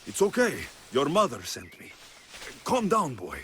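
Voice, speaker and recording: An actor playing a terse, protective, hot-tempered mercenary in a video game. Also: male voice, a little high, wildly swooping pitch, unhurried, heavy background noise. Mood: urgent. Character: gruff male Greek mercenary hero, protective, hot-tempered. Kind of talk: dramatic video game acting. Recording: dramatic music behind the voice.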